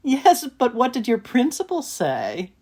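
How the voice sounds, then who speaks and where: A woman plays an older mother in an audio drama, chatting on the phone with tender concern. Her pitch swings expressively and she takes her time over each phrase. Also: wildly swooping pitch, darkish tone, slightly rough, exaggerated voice. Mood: affectionate concern. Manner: affectionate, sympathetic, reacts with drawn-out small phrases. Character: caring worried mother, affectionate on the phone. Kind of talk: audio play acting, one side of phone call.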